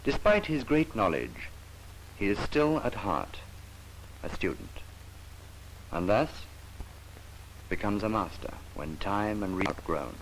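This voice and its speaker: A man with a very deep voice narrates an educational film in the manner of the 1950s. His delivery is solemn and measured, yet the pitch moves expressively through each phrase. The archival soundtrack carries some background noise. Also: big pitch swings, low-quality recording. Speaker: documentary narrator.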